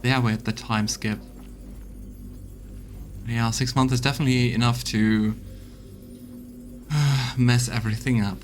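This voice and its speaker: A man's voice: mid-range voice, fairly even intonation, measured pace, long pauses, soft background music. A man streaming a video game, reading out narration and adding his own casual commentary as host. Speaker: streamer, let's play host.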